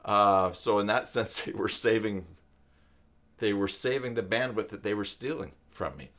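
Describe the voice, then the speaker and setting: A man hosts a casual live stream. His voice sits fairly low. He talks to viewers in a rambling, halting way, thinking aloud as he goes. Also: fairly bright tone. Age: 50s. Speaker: live stream host, web tutorial presenter.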